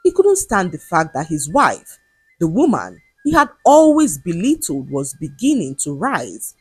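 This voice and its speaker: A woman narrating a scripted folktale in a measured, expressive way. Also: fairly low pitch, husky, no room sound, soft background music. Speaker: storyteller.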